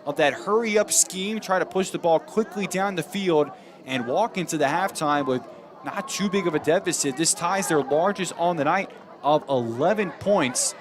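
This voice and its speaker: A man's voice: on the high side, moderate pace, a crowd in the background. A young adult American man giving live play-by-play commentary as a football announcer.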